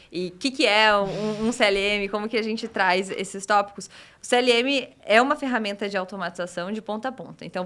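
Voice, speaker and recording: A woman with a Brazilian Portuguese accent, a guest on a podcast, explaining things in a measured way and often asking "né?". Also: medium pitch, pushed and bright. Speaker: legal tech guest. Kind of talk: podcast interview answers.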